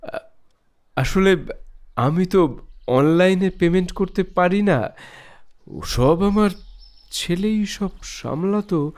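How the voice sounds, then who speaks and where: An actor playing an anxious elderly father in an audio drama, polite and deferential, with halting, stammering, apologetic speech. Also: high-pitched, expressive, darkish tone, exaggerated voice. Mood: troubled, pleading. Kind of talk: Bengali audio horror story acting.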